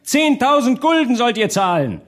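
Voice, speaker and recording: Man as gaunt demagogue, storybook figure, hoarse, pompous, high raised voice, animated swings, rhetorical haranguing. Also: moderate pace. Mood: scornful, agitated. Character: long gaunt agitator doctor haranguing a crowd, self-important.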